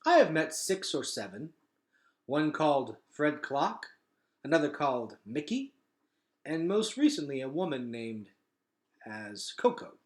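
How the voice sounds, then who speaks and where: A man's voice: fairly high pitch, very animated. Man voicing a courteous, grave old man, roomy sound. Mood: measured, grave. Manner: formal, measured, courteous. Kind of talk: children's novel read-aloud.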